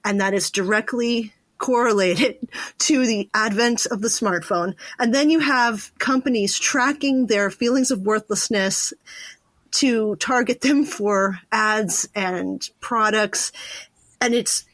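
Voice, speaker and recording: A woman's voice: fairly high pitch, slow, fairly bright tone, no room sound. A woman hosting a podcast, talking conversationally with her interview guest. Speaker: podcast host.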